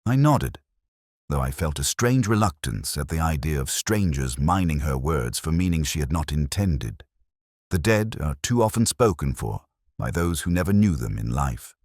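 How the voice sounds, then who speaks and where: Very deep, weary older detective played by a man. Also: animated, darkish tone, dry recording, clean studio sound. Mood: sombre, reflective. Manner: sombre, reflective, measured. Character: weary, melancholy older male police detective narrator. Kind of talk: literary first-person radio mystery narration.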